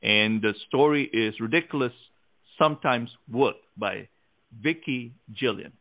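A man from Malaysia hosting a conversational interview, enthusiastic and quick to laugh. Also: mid-range voice, gravelly, no echo. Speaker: host. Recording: dry tinny phone-like line.

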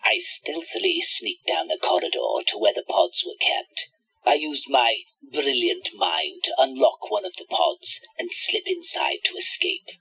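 A man's voice: mid-range voice, huge pitch swings, measured pace, bright, forceful tone, breathy edge, dull audio, heavily acted. A man playing a smug, scheming radio-play villain who gloats theatrically and plots revenge.

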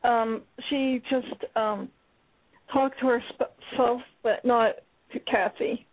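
A woman's voice: fairly high pitch, fairly even intonation, takes it slowly, dry recording. A woman giving her thoughts on a play on a radio show, hesitant and full of ums. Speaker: playwright and guest. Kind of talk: casual radio discussion. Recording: dry phone line.

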